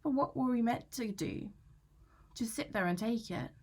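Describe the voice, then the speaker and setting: A woman's voice: a little high, moderate pace, a little mellow, breathy edge. A woman playing an anxious, aggrieved young British student in a conversational, confessional dramatic monologue, chatty, slangy and self-justifying. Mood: defensive. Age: young adult. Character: anxious young female student, aggrieved and defensive about housemate.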